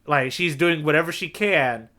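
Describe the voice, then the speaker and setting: A man's voice: on the high side, normal speed, bright, forceful tone. A man co-hosting a podcast, talking through comic panels in a casual, conversational way. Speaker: podcast co-host. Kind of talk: casual manga discussion.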